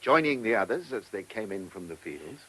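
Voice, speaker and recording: An actor playing a shrewd, brisk chief inspector in a radio play, questioning people with clipped authority. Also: male voice, on the low side, sing-song, fairly bright tone, a little hiss or hum, rough recording. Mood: probing. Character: shrewd, brisk male police chief inspector following hunches. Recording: radio drama recording.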